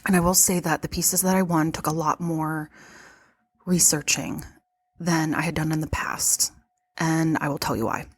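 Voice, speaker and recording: A woman's voice: deep voice, fairly even intonation, moderate pace, slightly rough. A woman hosting a casual podcast from behind her shop counter, talking in a chatty way with frequent laughs, her nose stuffed up from allergies. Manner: chatty, laughs often between remarks. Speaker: podcast host and vintage shop owner.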